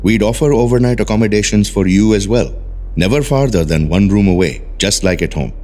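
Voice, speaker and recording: An actor playing a calm, persuasive research doctor in an audio drama, speaking in a measured, polite, soothing way. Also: male voice, fairly low pitch, lightly acted. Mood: earnest, persuasive.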